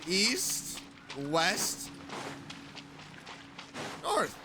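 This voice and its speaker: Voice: mid-range voice, wildly swooping pitch, drawn-out words, background noise. A streamer giving casual, playful commentary while playing a video game and reacting to it. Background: game music.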